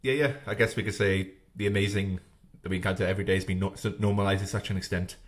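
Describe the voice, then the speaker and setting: A man in his thirties hosts a podcast in a low, easy voice. He thinks aloud, pausing on "uh" and feeling his way through each sentence. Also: fairly even intonation. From Wales. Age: 30s. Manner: thoughtful, hesitant, many "uh"s. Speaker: podcast host. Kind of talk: casual podcast interview.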